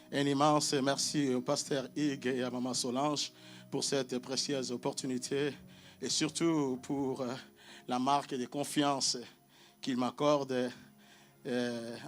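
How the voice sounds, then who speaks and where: Preacher. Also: male voice, medium pitch. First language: Portuguese. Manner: emphatic call-and-response with frequent amens. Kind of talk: sermon. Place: church hall with congregation.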